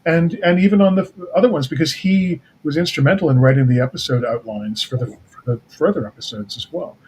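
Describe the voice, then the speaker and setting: A man from North America speaking as an interview guest, casually and haltingly, repeating words as he thinks. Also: a little high, slight background noise, rough recording. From Canada or the United States. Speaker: guest, writer-producer of an audio drama.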